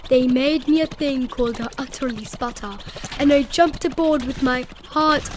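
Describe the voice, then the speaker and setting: A woman's voice: sits high, normal speed, a little hiss or hum. A British woman narrating a children's storybook aloud in a dramatic, theatrical storytelling manner. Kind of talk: expressive children's read-aloud. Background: music.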